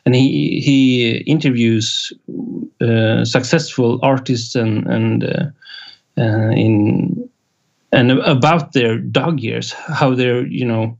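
Slow, gravelly Swedish-accented man. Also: medium pitch, steady tone. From Sweden. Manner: halting, many filler pauses, chuckles often. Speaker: independent musician guest. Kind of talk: casual interview chat. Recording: dull lo-fi sound.

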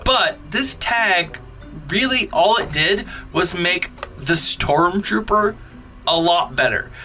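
Bright, animated male storyteller over music. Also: on the high side, distant mic. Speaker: podcast host presenting a storytime segment. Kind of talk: playful storytime recap for fans.

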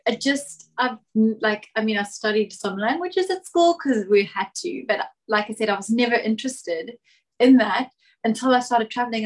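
Young woman guest, reflective storyteller, lightly raised pitch, lively when she gets into a story. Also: low-quality recording. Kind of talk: casual interview chat.

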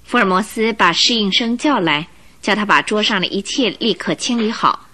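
A woman narrating a scripted radio drama in an expressive, dramatic storytelling manner. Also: medium pitch, moderate pace.

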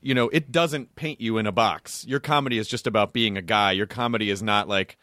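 A man hosting a podcast, asking probing questions in a casual, halting way. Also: on the low side, sharp tone, no room sound, clean studio sound. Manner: probing questions, stumbling restarts. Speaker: podcast host, interviewer. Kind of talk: casual interview chat.

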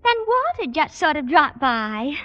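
A woman's voice: high-pitched, sing-song, measured pace. A gushing, coy young girl. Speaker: student. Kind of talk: 1950s radio sitcom acting. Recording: dull old radio recording.

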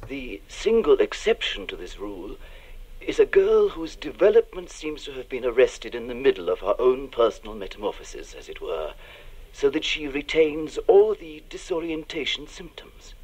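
A man playing a grave doctor dictating alarming findings in a formal, deliberate, ominous way for a radio drama, with a husky voice. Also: mid-range voice, very animated, darkish tone, rough recording. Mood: grave, clinical. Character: grave male doctor recording his alarming clinical findings on tape. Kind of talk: radio drama monologue, measured scientific dictation. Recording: played-back tape in a radio play.

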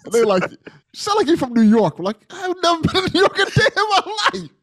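A man's voice: extremely high pitch, very animated, fast talker, fairly bright tone, no echo, clean studio sound. An American man hosting a casual podcast interview, asking questions and reacting conversationally. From southern United States.

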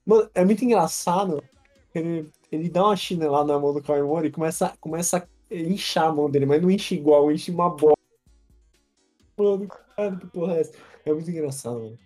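A young Brazilian man with a warm, dark tone that sits a little high, chatting loosely on a podcast. He swears freely and gets animated when he tells a story. Faint music plays underneath. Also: quick pace. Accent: Brazilian Portuguese. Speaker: podcast co-host. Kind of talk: casual chat.

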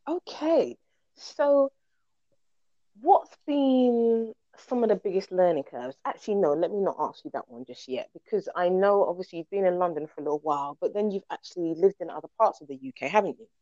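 A woman's voice: fairly low pitch, lively intonation, brisk, warm tone, dry recording, lo-fi sound. A woman conducting a casual, informal interview, asking questions in a conversational way.

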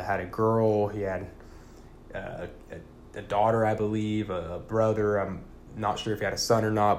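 A young man talking casually and haltingly on his solo podcast, using informal slang. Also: fairly low pitch, a little mellow, a little hiss or hum. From California, born in Puerto Rico. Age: young adult. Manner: informal, slangy, hesitant with frequent pauses. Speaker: podcast host.

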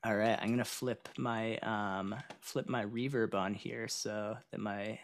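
Young male musician guest, gravelly, rambling, casual home setup. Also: fairly low pitch, steady tone, unhurried, slight background noise. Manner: loose, rambling, lots of fillers and restarts. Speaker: singer-songwriter guest. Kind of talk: casual live-stream interview.

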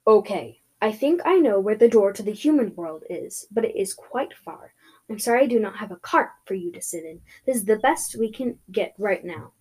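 A woman's voice: medium pitch, lively intonation, moderate pace, warm tone, husky, poor audio. A woman voicing a helpful, polite gorilla-horse creature in a fantasy story. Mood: explaining. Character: helpful gorilla-horse centaur creature, calm, apologetic rescuer.

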